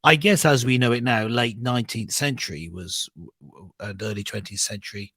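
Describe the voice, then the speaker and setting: British male co-host, husky, earnest, hesitant conversational delivery, dry sound. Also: medium pitch, normal speed, bright, forceful tone, dry recording. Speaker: co-host of a talk show, clergyman. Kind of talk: conversational opinion discussion.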